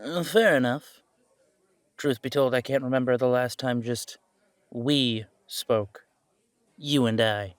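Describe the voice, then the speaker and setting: A sardonic, rebellious young werewolf son, played by a man in an audio drama. His medium-pitched male voice is wry and skeptical, recorded dry with no room sound. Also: slow pace, pauses often, no echo, close to an everyday voice. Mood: wary, dry. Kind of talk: audio roleplay drama acting.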